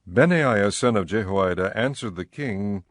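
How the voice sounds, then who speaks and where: A man narrating scripture in a measured, formal storytelling manner for a dramatized audiobook. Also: fairly low pitch, animated, no room sound, clean studio sound.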